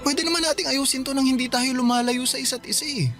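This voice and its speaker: A devoted, needy boyfriend in a radio drama. His voice runs very high for a man, earnest and pleading, with music behind him. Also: fairly even intonation, fast. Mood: hurt, pleading. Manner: pleading, stammering when upset. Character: clingy, earnest, pleading boyfriend, hurt and worried. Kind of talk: Tagalog radio drama acting.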